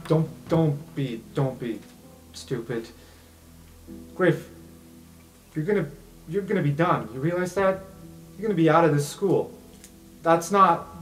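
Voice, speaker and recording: A man's voice: on the high side, poor audio. A man narrating an improvised tabletop roleplaying game as game master, emphatic and given to swearing.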